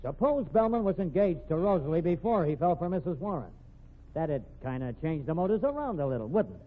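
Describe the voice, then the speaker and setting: An actor playing a blunt, exasperated, slangy detective in the style of an old radio mystery. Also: male voice, a little high, very animated, brisk. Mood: tough, assured. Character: gruff, impatient, wisecrack-weary male detective. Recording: muffled, narrow-band old radio recording.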